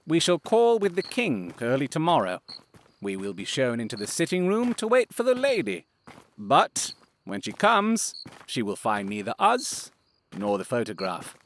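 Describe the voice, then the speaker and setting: A man's voice: medium pitch, huge pitch swings, moderate pace, a little hiss or hum. An actor playing a confident, theatrical detective in an audio drama, precise and self-satisfied in how he speaks. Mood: self-assured, explanatory.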